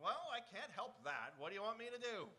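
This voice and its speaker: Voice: mid-range voice, very animated, a little fast, bright, forceful tone. A performer playing an unflappable, obliging straight man in a live radio comedy double act, giving earnest, matter-of-fact and placating answers. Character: unflappable, obliging straight-man explainer in a vaudeville baseball routine. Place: auditorium stage, live radio theatre production.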